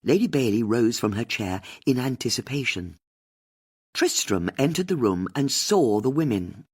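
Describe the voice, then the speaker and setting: A woman's voice: very deep voice, lively intonation, moderate pace, slightly rough. A woman reading a mystery audiobook as scripted narration.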